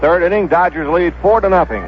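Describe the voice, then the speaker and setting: Male American play-by-play announcer, higher-set voice, live baseball commentary over a noisy vintage radio feed. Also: fairly quick, some chatter behind. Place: stadium broadcast booth. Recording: dull, noisy 1970s AM radio broadcast.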